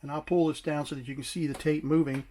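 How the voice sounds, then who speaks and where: A man casually demonstrating and explaining a vintage device, unhurried and pausing to search for his words. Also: a little high, flat delivery, warm tone. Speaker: vintage tech collector presenting a device.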